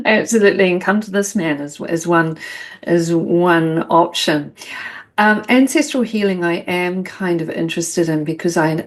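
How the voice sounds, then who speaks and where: A woman hosting a podcast, chatting casually as she puts questions to her guest. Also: on the low side. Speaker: podcast host interviewing a guest. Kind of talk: casual interview chat.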